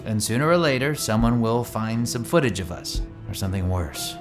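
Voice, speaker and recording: A woman playing a wry, excitable rogue agent in love, in an audio drama. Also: extremely low pitch, moderate pace, music in the background. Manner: wry, animated.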